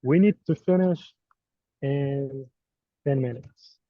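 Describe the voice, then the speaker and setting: A man's voice: mid-range voice, slow pace, no room sound. A native Arabic-speaking man teaching an online course, running a live quiz in a playful, teasing way. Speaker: course instructor. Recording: dull, dry online call audio.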